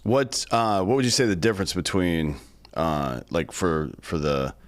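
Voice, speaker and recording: A man's voice: deep voice, a little slow. An American man hosting a podcast interview in a laid-back, joking way, with many hesitations and ums. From United States. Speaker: podcast host. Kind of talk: casual interview conversation.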